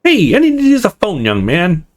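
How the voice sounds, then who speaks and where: Man putting on a high, pushy old woman. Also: wildly swooping pitch, moderate pace. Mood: impatient, demanding. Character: gruff rude old woman demanding the phone. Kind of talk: visual novel character read-aloud.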